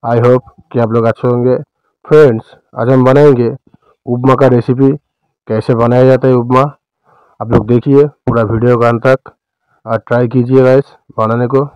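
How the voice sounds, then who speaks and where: A man with an Indian accent narrating a recipe step by step as a cooking host, in a smooth, clear voice. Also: medium pitch, little pitch movement, moderate pace, long pauses, darkish tone, no echo, highs cut off.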